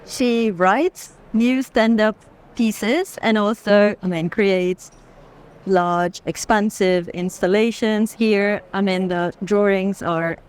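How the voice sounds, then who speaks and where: A woman's voice: mid-range voice, steady tone, takes it slowly, a little mellow, smooth. A woman with a mid-range voice speaks as a curator guiding visitors through an art fair. She talks spontaneously and reflectively, unfolding long explanations a phrase at a time. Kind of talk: unscripted walking conversation about art. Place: busy art fair hall, walking.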